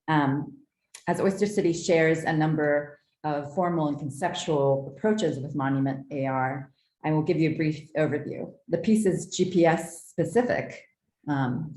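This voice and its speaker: A woman with a strikingly deep voice delivers a prepared artist talk. She is formal and articulate, reading in measured phrases. The sound is roomy, with clear echo around her words. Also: dark tone, a little hiss or hum. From southeastern United States. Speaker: artist presenting own work.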